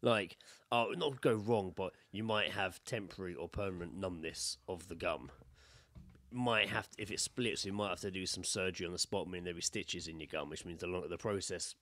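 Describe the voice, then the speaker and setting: Low-voiced British man, casual podcast storyteller. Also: a little fast. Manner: sweary, animated storyteller who acts out quotes. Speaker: podcast co-host. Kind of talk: casual chat, telling anecdotes.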